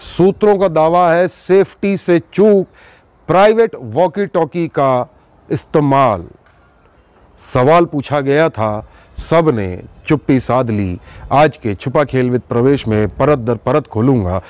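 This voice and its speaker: A man hosting an investigative news show, speaking emphatically to viewers with dramatic pauses and rhetorical questions. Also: on the high side, a little mellow, no echo. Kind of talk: news exposé monologue to viewers.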